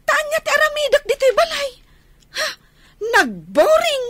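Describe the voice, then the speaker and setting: An actress playing a defiant, sulky young adult daughter in a radio drama, with a whiny, insolent way of talking. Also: female voice, extremely high pitch, big pitch swings, a little slow, fairly bright tone, no room sound, exaggerated voice. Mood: sulky, bored. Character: defiant, sulky young daughter who shirks chores and parties.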